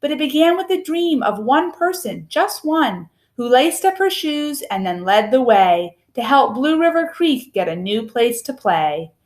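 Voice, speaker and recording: High-pitched woman reading a rhyming picture book. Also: very animated, a little mellow. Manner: measured, rhythmic delivery of rhyming verse. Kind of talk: expressive children's picture book read-aloud.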